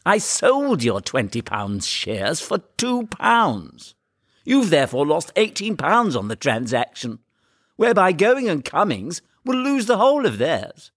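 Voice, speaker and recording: A man voicing a cocky, flippant, excitable young son in a comic story, with drawling, exaggerated emphasis. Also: high-pitched, a little slow, no echo, studio quality, voice pushed up, exaggerated voice. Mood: irritable.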